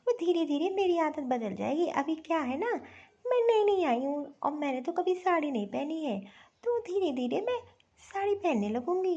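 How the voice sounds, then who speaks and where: Spoiled, scheming young bahu for family cartoon female actor; extremely high voice, whiny and bossy, dull recording. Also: very animated, moderate pace, warm tone, full character voice. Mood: whiny pleading. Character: spoiled, scheming young city daughter-in-law. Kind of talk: Hindi family-drama cartoon voice acting.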